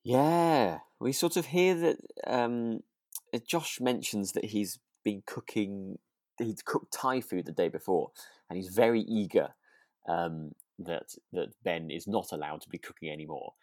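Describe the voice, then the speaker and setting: Low-voiced man, chatting hesitantly. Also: unhurried, a little mellow, no echo, clean studio sound. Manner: hesitant, often rephrasing and repeating words; amused, chuckling often. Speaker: podcast co-host. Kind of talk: casual chat recapping a radio drama.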